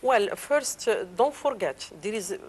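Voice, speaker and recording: A woman's voice: on the high side, lively intonation, a little slow, darkish tone, husky. A woman from Morocco with a Moroccan accent, a singer answering interview questions in hesitant, broken English, her first language Moroccan Arabic. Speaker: singer and guest.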